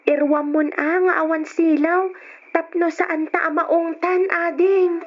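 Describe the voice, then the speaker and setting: A woman's voice: very high-pitched, flat delivery, unhurried. A woman with an Ilocano accent playing a dutiful, put-upon schoolgirl and elder sister, meek and polite, in a radio drama. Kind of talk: Ilocano old-time radio drama acting. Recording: dull lo-fi old radio drama recording.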